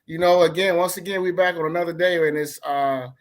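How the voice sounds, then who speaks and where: A man's voice: a little high, steady tone, a little fast. A man co-hosting a casual podcast, playful and slangy as he hypes up the episode. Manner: playful, slangy, hyping up the show. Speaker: podcast co-host.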